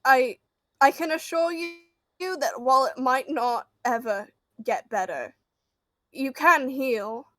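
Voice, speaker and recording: A woman playing a gentle, bereaved adventurer in a tabletop game, consoling someone in a halting, earnest way. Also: high-pitched. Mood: tender, solemn.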